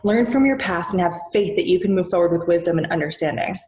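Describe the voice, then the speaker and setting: A woman pitching herself in a comic dating video, dreamy and giggly with mystical rambling. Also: fast talker, darkish tone, reverberant, slight background noise. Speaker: dating contestant.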